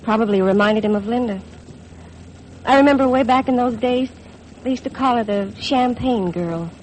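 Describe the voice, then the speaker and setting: Actress as a high-voiced, wistful young American bride. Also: female voice, normal speed, natural voice. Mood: confused, sad. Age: young adult. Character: insecure, wistful young bride in dead star's shadow. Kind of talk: 1950s American radio drama acting. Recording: faintly noisy vintage radio recording.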